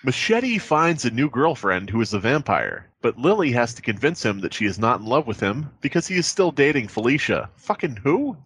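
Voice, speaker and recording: Husky, animated man bantering on a podcast. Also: mid-range voice, moderate pace, hardly any pauses, no echo. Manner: sardonic, riffing, swears. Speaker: podcast host. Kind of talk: casual comedic chat, reading aloud with banter.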